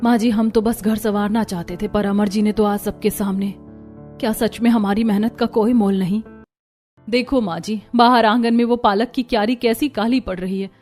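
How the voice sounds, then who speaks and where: A woman's voice: mid-range voice, fairly even intonation, moderate pace, a little mellow, soft background music, close to an everyday voice. A woman voicing a hard-pressed young village daughter-in-law in a cartoon, plaintive and respectful. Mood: distressed, plaintive.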